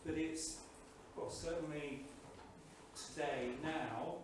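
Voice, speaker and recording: A man in the audience giving an informal, reflective comment in a halting, tentative way, thinking aloud. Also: fairly high pitch. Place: indoor event room, without microphone. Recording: distant, very echoey, noisy room pickup.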